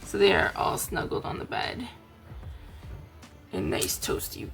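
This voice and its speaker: A tired woman with a raspy voice, chatting casually as a crafting host, rambling gently. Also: fairly low pitch, huge pitch swings, unhurried, over loud music. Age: adult, aunt. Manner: rambling, chatty, soft-spoken. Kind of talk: casual chat to camera.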